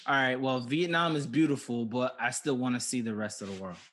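A man's voice: medium pitch, a little fast, a bit bright, slight background noise. A man hosting a podcast, laid-back and jokey as he chats with his guest. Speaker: podcast host. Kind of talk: casual interview chat.

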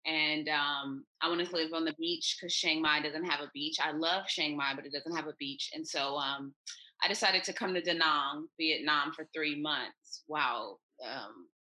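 Bright-voiced American woman, chatty guest. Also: low voice, moderate pace, rough recording. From United States. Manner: upbeat, chatty storytelling. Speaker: podcast guest, expat traveler. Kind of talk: casual interview chat.

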